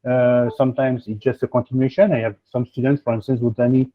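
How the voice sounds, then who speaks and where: A man's voice: mid-range voice, level tone, quick pace. A man with a French accent coaching applicants in a webinar, rambling and checking in with "okay?" as he goes. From France. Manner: rambling, peppers speech with "okay?" and "huh?". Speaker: admissions coach hosting a webinar. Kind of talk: advice in an online webinar. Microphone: video call. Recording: tinny phone-like video call audio.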